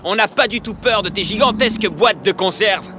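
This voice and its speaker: A man plays a defiant young cartoon hero, blunt and bold. His voice is high for a male, with music clearly underneath. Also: moderate pace, natural voice. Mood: cocky. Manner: cocky, blunt. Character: bold, defiant boy hero, leader of a team. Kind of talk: animated cartoon voice acting. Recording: loud background music.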